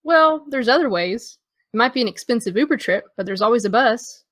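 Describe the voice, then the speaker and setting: A woman's voice: fairly high pitch, animated, brisk, fairly bright tone, dry recording, clean studio sound. A young American woman playing a guarded, dryly witty teenage girl in understated, naturalistic audio drama dialogue. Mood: wry.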